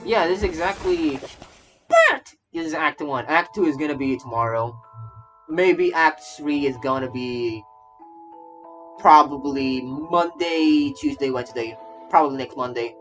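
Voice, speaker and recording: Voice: medium pitch, measured pace. A young adult streamer giving casual, rambling gaming commentary and often repeating phrases. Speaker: streamer, virtual YouTuber. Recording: voice over game music. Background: soft game music.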